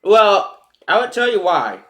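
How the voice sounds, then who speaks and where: Male vlogger with a lively, rambling delivery. Loud piano music in the background. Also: high-pitched, unhurried. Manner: meandering, hesitant, with frequent ums and restarts. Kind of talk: rambling casual monologue. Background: loud piano and keyboard music.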